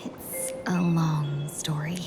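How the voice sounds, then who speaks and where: A courteous young woman envoy from a video game. Her voice is slightly low, and her manner is modest and formal. Music plays clearly behind her. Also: fairly even intonation, slow pace. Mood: polite. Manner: polite, humble, formal. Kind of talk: video game character acting.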